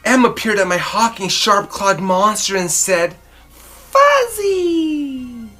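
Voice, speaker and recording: A woman reading a children's story aloud in an expressive, dramatic and deliberate narrating style. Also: medium pitch, soft background music. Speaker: storybook reader.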